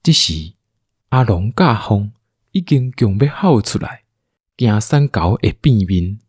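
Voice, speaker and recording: A man's voice: on the low side, expressive, a little slow, darkish tone, husky, no room sound, studio quality. A man with a Taiwanese accent narrating a radio drama as a storyteller.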